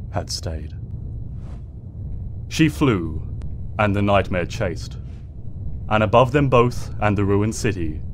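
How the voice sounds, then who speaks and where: A man's voice: on the low side, a little hiss or hum. A man reading fiction aloud as a narrator, in a dramatic scripted storytelling style. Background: ambient sound effects.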